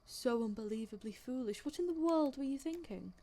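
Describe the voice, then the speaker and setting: A woman's voice: medium pitch, lively intonation, moderate pace, vocal fry, a little mellow. A woman playing an indignant, sarcastic young witness in an audio drama, speaking in a colloquial, exasperated way. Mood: indignant recounting. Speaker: voice actor in a fan audio drama. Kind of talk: audio drama acting, conversational monologue.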